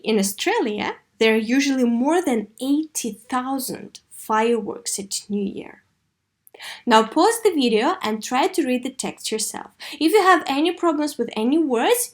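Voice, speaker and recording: Higher-pitched woman teacher. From Russia. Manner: didactic, expressive model reading with clear questions. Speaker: English teacher for children. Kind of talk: video lesson for third graders.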